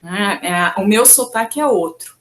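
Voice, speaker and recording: Woman, from Brazil, language teacher, video lesson, patient, careful explaining, slow pace. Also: mid-range voice, animated, a bit bright. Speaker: Portuguese teacher.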